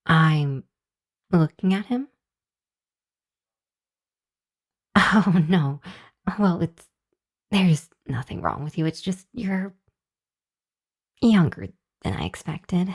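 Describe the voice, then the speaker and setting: Female actor for nervous hellhound girl, ASMR monster roleplay. Deep for a girl, slow, apologetic, hesitant. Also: lively intonation, full character voice. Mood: surprised, apologetic. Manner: hesitant, sheepish, stammering. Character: nervous, apologetic young hellhound girl seeking work as familiar. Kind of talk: ASMR roleplay addressed to the listener.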